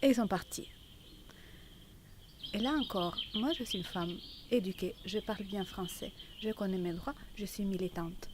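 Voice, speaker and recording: A woman's voice: medium pitch, normal speed. Woman, middle aged, outspoken chatty testimony, mid voice, birds and noise around. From Italy. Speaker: interviewee giving testimony. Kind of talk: conversational personal testimony. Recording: clear outdoor noise with birdsong. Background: birdsong and ambience.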